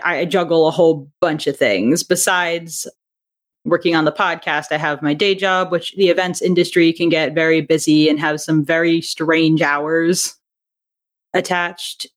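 A woman speaking casually as a podcast guest, explaining things in a conversational, self-qualifying way. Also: lowish voice. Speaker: podcast guest, audio drama writer and director. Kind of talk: casual interview chat.

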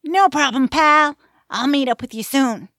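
A woman's voice: high-pitched, unhurried. An actress playing a brash, loyal, teasing young female adventurer in a fantasy audio drama. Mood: loyal, concerned. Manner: brash, plucky, slangy.

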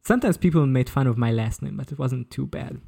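Male podcast presenter, casual storytelling delivery, average pitch with noticeable vocal fry. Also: fast, dark tone. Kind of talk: casual presentation with read-out excerpts.

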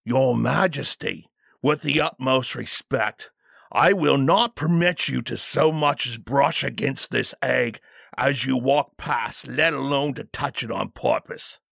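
Man doing a gravelly, cautious royal bodyguard. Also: mid-range voice, takes it slowly, a bit bright, no room sound, studio quality, heavily acted. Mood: firm. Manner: grave, formal, warning. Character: burly, cautious, deferential armored royal bodyguard.

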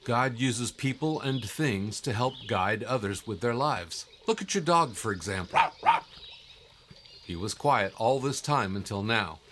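An actor playing a calm, mysterious, faithful guide in an audio drama, with a measured, gently probing delivery. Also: male voice, mid-range voice, a little hiss or hum. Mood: encouraging, knowing.

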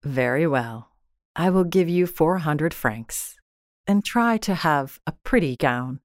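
A modest, practical husband from a classic storybook, acted out by a woman. He speaks in a lowish voice, patient and coaxing, in a very dry recording. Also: animated, slow pace, no echo. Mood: resigned. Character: modest clerk husband, well-meaning, patient, practical man.

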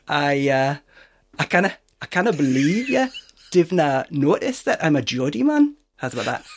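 A man's voice: fairly high pitch, very animated, normal speed, slight background noise. A man putting on a hammy, exaggerated Geordie man, laughing through it. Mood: joking.

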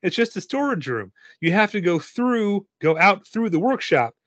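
Guy as jittery but gentle late shift worker, bit high pitched, coaxing, dull game recording. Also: sing-song, normal speed, no room sound. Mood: concerned, coaxing. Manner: thin-voiced, coaxing, concerned. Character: nervous, gentle night worker confronting a child.

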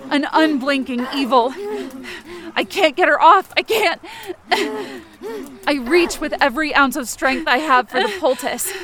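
A woman playing a frightened but determined young woman, narrating her ordeal in the present tense in a tense, urgent audio drama style. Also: high voice.

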